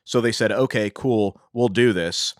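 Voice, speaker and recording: Male podcast host, deeper voice, conversational explainer, very dry recording. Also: unhurried, dry recording. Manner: explanatory, informal. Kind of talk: conversational podcast discussion, telling a researched story.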